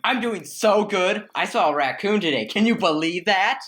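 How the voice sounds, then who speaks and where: A woman's voice: medium pitch, lively intonation, normal speed, fairly bright tone, natural voice. A woman voicing a friendly, sociable dog in a radio play, gushing and casual. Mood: delighted.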